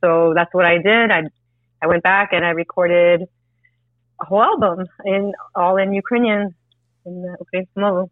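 A woman musician from Canada talks in a relaxed, easy way, full of fillers and asides. Her voice comes down a tinny, dry phone line. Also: fairly low pitch, moderate pace, no echo. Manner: relaxed, warm storytelling with fillers. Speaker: musician guest. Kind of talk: interview over a phone line.

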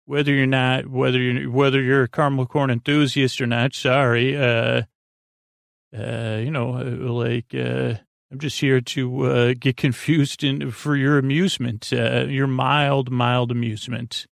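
Man, creaky gravelly voice, dry close recording, halting meandering delivery, lulling bedtime podcast host. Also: medium pitch, flat delivery, dry recording. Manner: meandering, halting, hesitant, self-deprecating; lulling, soothing. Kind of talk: rambling bedtime podcast monologue.

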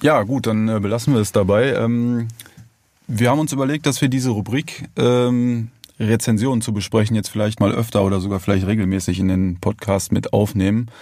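A man with a lowish voice in a dry, close recording. He co-hosts a podcast in a casual, slightly hesitant, informal way. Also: steady tone, fairly quick, darkish tone, no echo. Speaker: podcast co-host.

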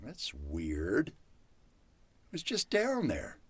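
A man's voice: fairly low pitch, huge pitch swings, slow pace, husky, dry recording, heavily acted. An actor playing a nervous, paranoid male patient who stammers and rambles, in old-time radio horror style. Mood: puzzled, muttering. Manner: stammering, jittery, chatty.

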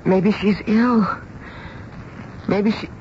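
An actress playing an anxious, tender middle-aged mother who narrates a radio drama in a measured, suspenseful way. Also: medium pitch, fairly even intonation, dark tone, lo-fi sound, slight background noise. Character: anxious, tender mother of a bride-to-be, telling the tale. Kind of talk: radio drama acting with first-person narration. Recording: band-limited radio recording with music behind.

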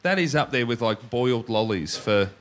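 An Australian man co-hosting a live comedy podcast, a little stuffy from a head cold. His voice is bright and forward, and he tells stories by acting out what people said. A faint noise floor sits behind him. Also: medium pitch, animated, moderate pace. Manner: storytelling, acts out quotes. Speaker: podcast co-host.

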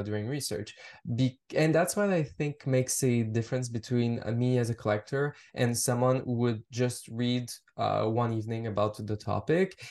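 A man's voice: medium pitch, darkish tone. French Canadian man, reflective and hesitant. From Montreal, French Canada. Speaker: fashion researcher and collector. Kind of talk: casual podcast conversation.

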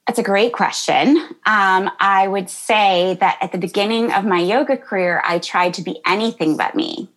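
A woman yoga teacher with a high-pitched voice, answering interview questions in a bubbly, chatty way with lots of fillers and laughter. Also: normal speed, few pauses, a bit bright, rough recording. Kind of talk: interview answers, casual chat.

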